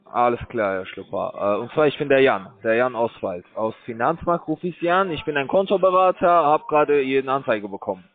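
A man cold-calling as a scam call center agent, pushing a sales pitch in broken grammar with hesitant fillers, heard over a tinny phone line. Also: expressive, a little fast.

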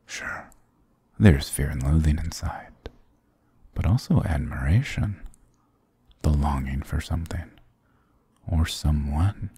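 A man's voice: extremely low pitch, vocal fry, dark tone, slightly rough, dry recording, studio quality, exaggerated voice. A man voicing a sarcastic, dominant demon king in an ASMR roleplay, with a taunting, condescending, theatrical delivery. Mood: smug, teasing.

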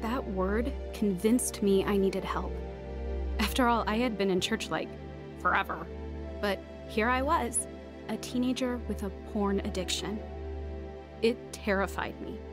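A woman's voice: mid-range voice, unhurried, natural voice. An actress playing a reflective young woman who narrates an audio drama in an earnest, confessional way. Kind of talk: scripted first-person drama narration. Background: music.

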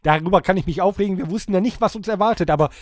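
Male co-host, slightly high voice, animated grumbling banter, dry close recording. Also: racing, dry recording. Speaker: podcast co-host. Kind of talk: casual banter.

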